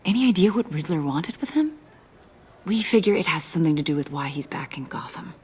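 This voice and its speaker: Husky, inquisitive intelligence agent. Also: female voice, low voice, expressive, a little fast, a little mellow. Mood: businesslike. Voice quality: husky, breathy. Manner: conversational, inquisitive. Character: keen, friendly, probing young female intelligence agent. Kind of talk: video game dramatic acting.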